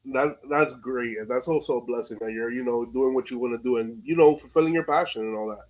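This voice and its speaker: Casting: male podcast interviewer, medium pitch, informal and slangy, talks conversationally with plenty of "like" and "you know". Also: brisk, low-quality recording. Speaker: podcast host.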